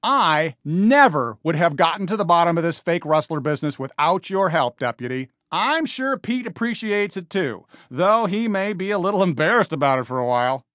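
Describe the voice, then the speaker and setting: A man's voice: on the high side, expressive, normal speed, few pauses, fairly bright tone, no room sound, studio quality. A man voicing a friendly, folksy American toy cowboy sheriff in a video game, eager and encouraging with a cowboy drawl. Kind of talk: video game character voice lines.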